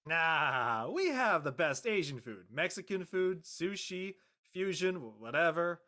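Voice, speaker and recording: An opinionated young man, played by an actor in a naturalistic script reading. His voice is bright and on the high side, with a bantering delivery. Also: big pitch swings, measured pace, full character voice. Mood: teasing, opinionated. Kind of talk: naturalistic live table-read acting.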